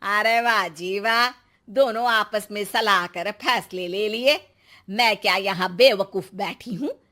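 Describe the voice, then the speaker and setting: A woman voicing a bossy, sarcastic, middle-aged mother-in-law in an animated moral story. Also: mid-range voice, takes it slowly. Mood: angry, sarcastic. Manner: demanding, sarcastic.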